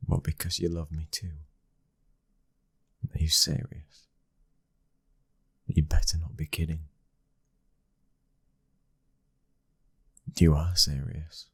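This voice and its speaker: A British man playing a protective, devoted young mafia heir and lover in an intimate ASMR roleplay, speaking softly and tenderly, often near a whisper. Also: very deep voice, flat delivery, unhurried, vocal fry, pauses often, slightly rough, no room sound, full character voice. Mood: moved, tender.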